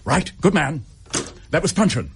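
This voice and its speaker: An actor playing a dutiful English chief inspector in a radio drama, clipped and businesslike. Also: male voice, on the high side, very animated, moderate pace, slight background noise. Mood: brisk, urgent.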